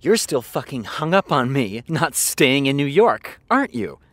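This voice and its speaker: A man playing a defensive, exhausted TV writer with a sardonic, halting delivery in a naturalistic audio drama. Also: medium pitch, big pitch swings, no room sound. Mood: accusing, cutting.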